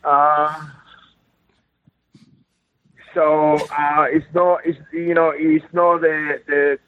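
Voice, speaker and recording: A man's voice: fairly high pitch, flat delivery, very slow. A man from Cuba with a Cuban Spanish accent, calling in and speaking insistent, repetitive, broken English; Spanish is his first language. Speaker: fighter calling in. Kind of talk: phone interview. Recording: dry, tinny phone line.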